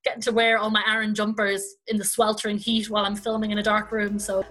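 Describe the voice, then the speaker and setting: A woman's voice: medium pitch, level tone, fast, a bit bright, poor audio. An Irish woman, a children's performer hosting a podcast, chatting casually. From Ireland.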